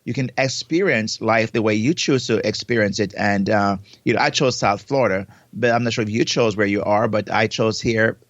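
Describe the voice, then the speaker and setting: A man's voice: fairly low pitch, normal speed, few pauses, a bit bright, dry recording. A man from Jamaica with a Jamaican accent, co-hosting a podcast over a remote link. He talks expansively, repeating and restarting his words. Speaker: podcast co-host. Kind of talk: casual podcast chat. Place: home in South Florida, remote recording. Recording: dull, lo-fi remote audio.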